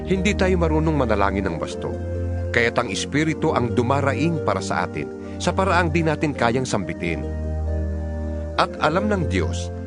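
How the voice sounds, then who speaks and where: A man's voice: medium pitch, big pitch swings, normal speed, over loud music. A man giving a solemn, declamatory dramatized reading of scripture as narrator.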